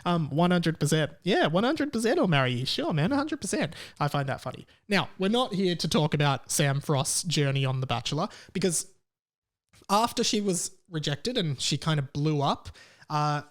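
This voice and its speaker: A man's voice: a little high, big pitch swings, normal speed. An Australian man hosting a podcast solo in a casual, rambling, irreverent comedic style.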